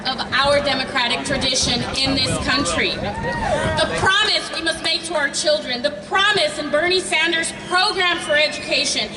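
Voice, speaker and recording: A middle-aged woman from Honduras giving a slow, emphatic rally speech to introduce the main speaker. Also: very high-pitched. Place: outdoor rally before a large crowd. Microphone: PA microphone recorded from afar. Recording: distant echoey PA over loud crowd.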